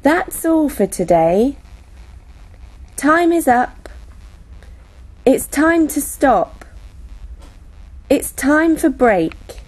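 A woman slowly reading out classroom phrases for teachers, each phrase spoken separately. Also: high voice, sing-song, poor audio. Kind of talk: slow, clearly spoken classroom English read aloud.

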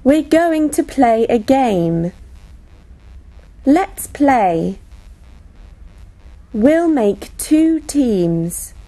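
A woman slowly reading out classroom phrases for teachers, each phrase spoken separately. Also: sits high, very animated, mellow. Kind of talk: slow, clearly spoken classroom English read aloud.

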